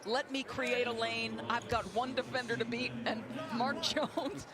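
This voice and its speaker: A woman provides color analysis during a live basketball game. She breaks down plays as a commentator, with crowd noise clearly behind her. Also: a little high, steady tone, unhurried.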